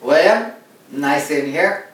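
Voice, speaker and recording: A man's voice: a little high, animated, a little slow, a bit bright, recorded from a distance. An Irish man, a native Irish speaker, hosting a podcast in a wry, jokey way. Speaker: podcast host. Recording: very echoey room, away from mic.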